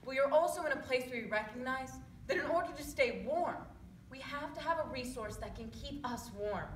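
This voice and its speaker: A woman playing a measured, reasonable senator giving formal testimony in a stage readers theatre piece. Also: sits high, natural voice. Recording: echoey room, distant mic, background hiss.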